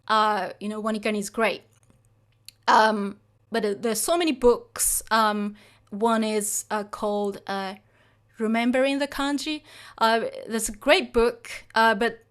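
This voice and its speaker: A woman's voice: on the high side, fairly bright tone, clear, resonant tone. A Japanese woman teaching Japanese in English with a Japanese accent, answering a live chat, hesitant and apologetic with lots of fillers.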